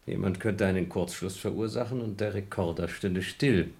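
A cynical, restless astronaut in a radio play, dry and needling, low-ish voice. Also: male voice, moderate pace, darkish tone. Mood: ironic. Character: cynical, restless male astronaut trapped in a station.

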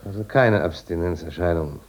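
Casting: patronising psychiatrist, deep voice, genial but probing, unhurried, 1950s radio drama. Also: male voice, slightly rough. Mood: smug, probing. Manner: patronising, unhurried, murmuring little questions. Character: smugly genial, probing male asylum doctor. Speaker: medical officer and psychiatrist.

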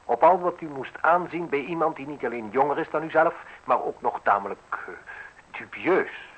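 Husky worldly guy in his thirties, mocking and sure of himself, slow ironic pauses, radio play. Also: on the high side, a bit bright, highs cut off. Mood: needling. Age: 30s. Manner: deliberate, ironic, theatrical pauses. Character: worldly, mocking hard-drinking man in his thirties, probing and self-assured. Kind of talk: radio drama acting.

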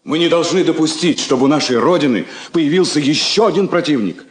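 An actor playing a wry, philosophical embassy counsellor, dry and deliberately rhetorical, in stage-style radio drama. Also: male voice, on the high side, expressive, lightly acted. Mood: earnest, wry. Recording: echoey old studio drama recording.

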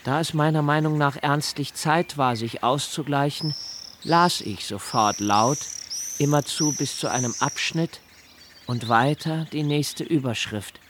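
An actor playing a pensive, sensitive man who recalls his childhood in reflective, deliberate literary narration, with swells of intensity. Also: a little high, noisy background, natural voice. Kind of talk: literary first-person radio-play narration.

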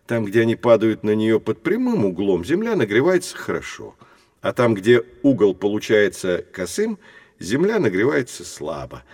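An actor playing a patient, learned science teacher who explains things to children in a measured, didactic way for an educational audio drama. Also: male voice, fairly low pitch, huge pitch swings, a little mellow, no room sound, studio quality, exaggerated voice. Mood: patient, explanatory.

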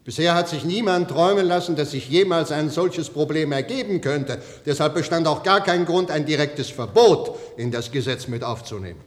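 An actor playing a stern, sceptical and dignified judge in a German radio drama, formal and authoritative. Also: male voice, a little high, normal speed, few pauses, natural voice. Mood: sceptical, indignant. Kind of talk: 1960s-1990s German radio drama acting. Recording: reverberant hall, old radio play.

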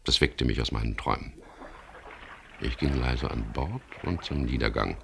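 An actor playing a wry, self-assured boat skipper who narrates a crime story in a 1970s German radio drama. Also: male voice, a bass voice, normal speed, vocal fry, fairly bright tone, heavily acted. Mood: reflective narration. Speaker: lead actor and first-person narrator. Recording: noisy old radio drama recording.

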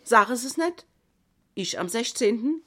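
A woman's voice: a little high, animated, a little slow, sharp tone, exaggerated voice. An actress playing a chatty older woman, hosting her friend for a radio evening and laughing often. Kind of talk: radio comedy sketch.

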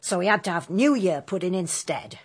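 Radio drama cook, low husky voice, chatty, deferential, plain country manner. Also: female voice, expressive, brisk. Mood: matter-of-fact. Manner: gossipy, respectful.

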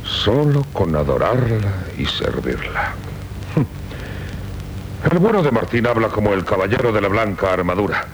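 An actor playing a gruff, possessive middle-aged count and father in 1970s radio theatre, with a brooding, hesitant delivery. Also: big pitch swings, a little slow, pauses often. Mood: wry, proud. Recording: rumbly, noisy 1970s radio recording.

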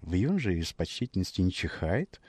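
A man narrating a classic short story for radio in a measured, expressive literary manner with dramatic pauses. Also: low-pitched, slightly rough, dry recording.

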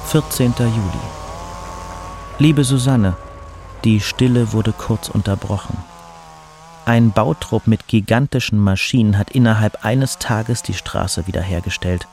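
A man playing a burnt-out poet who reads out his reflective letters in a measured, contemplative way, as scripted diary-like narration. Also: lowish voice, a little mellow, a little hiss or hum. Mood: serene. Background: music behind the voice.

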